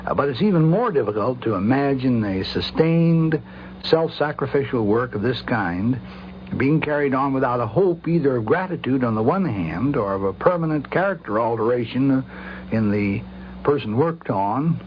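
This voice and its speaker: A man giving reflective commentary as a guest doctor, in formal wording with a hesitant, halting delivery, on an old radio recording. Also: medium pitch, expressive. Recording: noisy, humming, dull old radio recording.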